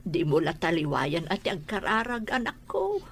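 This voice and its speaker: A woman's voice: on the low side, wildly swooping pitch, moderate pace, gravelly, breathy edge, exaggerated voice. A woman acting as a doting, fussing older auntie in an Ilocano radio drama. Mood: motherly concern. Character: doting older auntie comforting her niece. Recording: music bed under the voice.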